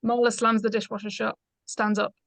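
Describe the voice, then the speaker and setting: A woman's voice: a little high, level tone, a little fast, gravelly, fairly bright tone, dry recording. A woman reading out the stage directions of a screenplay as scripted narration.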